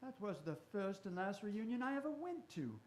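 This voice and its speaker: An actor playing a wary, genteel middle-aged rogue in a radio drama, speaking with dry, clubby, old-fashioned politeness. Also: male voice, sits high, very animated, moderate pace, a little mellow, a little hiss or hum. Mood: dry, guarded. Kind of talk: stage radio-drama acting.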